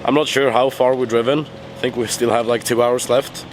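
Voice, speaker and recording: A tired young man with a hoarse, worn-out voice, chatting casually to the camera in a rambling, halting way. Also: mid-range voice, steady tone, a little hiss or hum. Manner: rambling, halting with many fillers. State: hoarse, lost voice. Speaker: vlogger. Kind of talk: casual vlog chat. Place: in a car.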